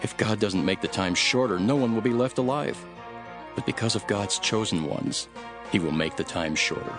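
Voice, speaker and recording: Low-voiced man solemnly narrating scripture over music. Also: normal speed. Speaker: Bible narrator. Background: background music.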